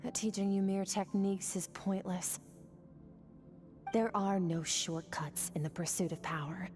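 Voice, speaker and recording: A woman voicing a stern, cool female swordmaster mentor who speaks in a calm, measured, instructive way. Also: mid-range voice, music in the background, lightly acted. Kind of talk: video game voice acting.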